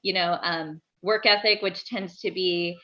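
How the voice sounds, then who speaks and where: A woman's voice: fairly low pitch, steady tone, unhurried, pushed and bright, lo-fi sound. A woman hosting an interview, asking questions and summing up her guest's story in a friendly, steering way. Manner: friendly, summarizing and steering the talk. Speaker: interview host.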